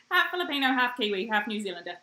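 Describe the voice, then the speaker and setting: A woman's voice: a little high, sing-song, brisk, bright, forceful tone, roomy sound. A woman hosting a casual video-call interview, enthusiastic and giggly. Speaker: host.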